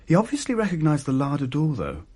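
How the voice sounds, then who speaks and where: An actor playing a British man recounting his family's haunting in a radio play, measured, wry and uneasy. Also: fairly high pitch, wildly swooping pitch, darkish tone. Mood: uneasy, intent. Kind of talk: dramatised first-person narration.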